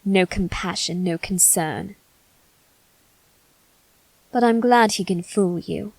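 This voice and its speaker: A woman performs a haughty young nobleman, still a boy, bitter and guarded. He speaks very slowly in formal, condescending phrases. The sound is dry and intimate, made for an ASMR character roleplay. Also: medium pitch, gravelly, husky, dry recording, exaggerated voice, rough recording. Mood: bitter, cold.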